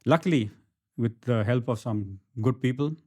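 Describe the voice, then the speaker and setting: A man's voice: medium pitch, moderate pace, dark tone. A middle-aged man from India with an Indian accent, telling his story emphatically in a conversational interview and often checking in with the listener. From Bangalore, India. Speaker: interview guest, entrepreneur and author.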